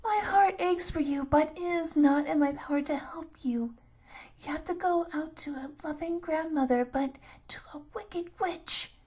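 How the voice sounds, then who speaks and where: A woman voicing a kind, tender old grandmother in a storybook, speaking softly. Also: unhurried. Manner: tender, high and soft.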